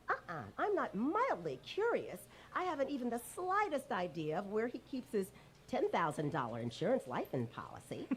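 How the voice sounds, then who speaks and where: Woman acting a coy, swooping elderly landlady. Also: mid-range voice. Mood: slyly innocent. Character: elderly landlady, coy, fluttery, secretly smitten. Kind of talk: old-time radio comedy acting.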